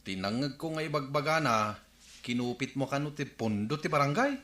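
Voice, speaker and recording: A man's voice: medium pitch, lively intonation, a little fast, a bit bright, echoey room, natural voice. A man with an Ilocano accent playing a worried villager, cautioning and pleading in a comedy radio drama. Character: worried villager restraining his angry captain.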